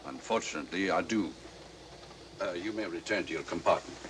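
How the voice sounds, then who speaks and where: An actor playing an officious, skeptical Scottish police inspector in a 1940s mystery drama. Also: male voice, deep voice, fairly quick, natural voice. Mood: grave. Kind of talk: 1940s film mystery acting. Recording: hissy old film soundtrack.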